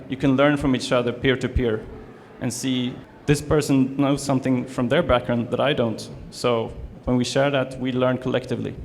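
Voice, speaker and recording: Gravelly Swedish man in a hall. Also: mid-range voice, darkish tone, a little hiss or hum. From Sweden. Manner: hesitant, many fillers and restarts. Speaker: student presenter. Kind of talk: presentation to an audience. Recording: reverberant hall, faint ambience.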